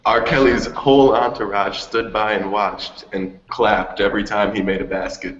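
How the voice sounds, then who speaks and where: Deep-voiced man hosting in an echoey room. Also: fairly even intonation, moderate pace. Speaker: host of a music talk show. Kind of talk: casual panel discussion. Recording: muffled, echoey room with noise.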